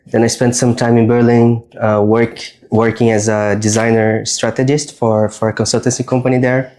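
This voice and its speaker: Brazilian man explaining his work, relaxed. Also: medium pitch, moderate pace, a little hiss or hum. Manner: relaxed, earnest, restarts words while explaining. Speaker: guest, design strategist. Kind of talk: casual chat during a haircut. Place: barbershop.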